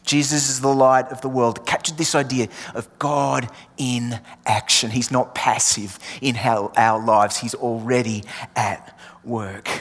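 An English-born man with an Australian accent preaches, repeating key lines with rising force and sinking almost to a whisper. His voice sits at an ordinary pitch for a man. A long reverb trails each phrase, as in a large hall. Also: steady tone, measured pace, fairly bright tone. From England. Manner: emphatic, repeats refrains, drops to near whisper. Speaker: pastor. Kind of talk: sermon.

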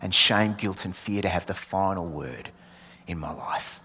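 A man's voice: low voice, measured pace, bright, forceful tone, a little hiss or hum. A man with an Australian accent, born in England, preaching a sermon with emphatic repeated refrains that sometimes drop to a near whisper. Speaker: pastor. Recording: long hall reverb.

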